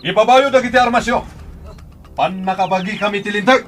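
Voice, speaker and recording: A man's voice: high-pitched, fairly quick, a bit bright. A man with an Ilocano accent playing a stern policeman giving orders in a radio drama, over music. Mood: commanding, stern. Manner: commanding.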